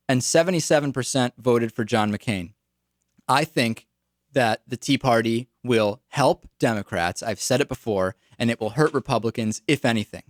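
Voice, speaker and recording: A man's voice: mid-range voice, no echo, clean studio sound. A man with an American accent giving political commentary as a radio talk show host.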